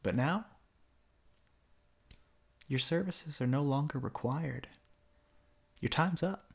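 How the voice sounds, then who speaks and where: A man's voice: on the high side, takes it slowly, vocal fry, breathy edge, full character voice. A man playing a bitter, mocking villain in an ASMR roleplay monologue, speaking theatrically with sardonic asides and often dropping toward a whisper. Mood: contemptuous, menacing. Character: bitter, mocking male villain revolutionary monologuing at a fallen hero. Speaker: voice actor in an audio roleplay.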